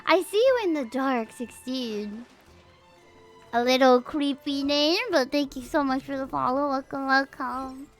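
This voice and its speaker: A woman's voice: high-pitched, measured pace. A young adult woman chatting casually to viewers on a livestream in a chatty, rambling way. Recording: music playing behind. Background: background music.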